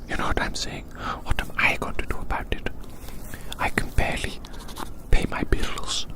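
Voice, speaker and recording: An ASMR performer speaking in a breathy, unvoiced whisper, softly musing to the listener and gently repeating phrases in a roleplay. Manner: hushed, slow repetition of phrases, gently musing. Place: outdoors.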